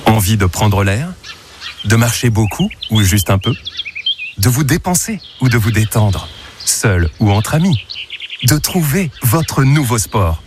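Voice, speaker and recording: A man's voice: lowish voice, very animated, unhurried, background noise. A man reading a radio advertisement in an inviting tone, with a string of questions. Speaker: station announcer and ad voice. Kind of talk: scripted radio ad read.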